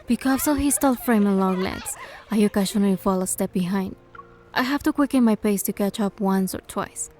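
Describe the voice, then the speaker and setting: Gentle young game heroine, mellow voice, woman acting. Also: mid-range voice, moderate pace, soft background music, close to an everyday voice. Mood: tender, narrating. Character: gentle, curious young woman narrating her date. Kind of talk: scripted first-person game narration and dialogue.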